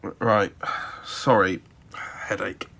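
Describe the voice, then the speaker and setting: A man's voice: on the low side, level tone, takes it slowly, gravelly, bright, forceful tone, slightly rough, full character voice. An actor playing a nervous man out of his own time who stammers and gets easily flustered. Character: nervous young man from the distant past, awkward and flustered.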